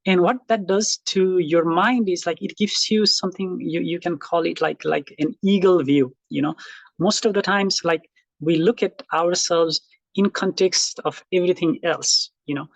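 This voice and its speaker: Creaky-voiced Nepali man teaching online. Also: high voice, moderate pace, dry recording, low-quality recording. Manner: reflective, frequent fillers and restarts. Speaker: college instructor. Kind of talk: casual lecture to online students.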